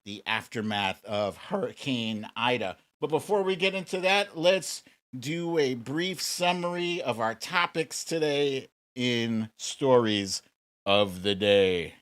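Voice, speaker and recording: Slow-paced American man hosting a livestream. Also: medium pitch, expressive. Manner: informal, playful, drawn-out shout-outs to viewers. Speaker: livestream show host. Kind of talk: casual livestream chat to viewers.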